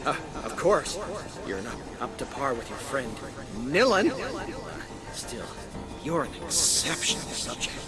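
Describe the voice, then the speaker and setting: A man playing an unhinged, grandiose scientist villain in a video game, coldly soothing and pompous in florid, deliberate phrases. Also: mid-range voice, big pitch swings. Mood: condescending. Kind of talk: dramatic video game acting. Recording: loud music over the voice.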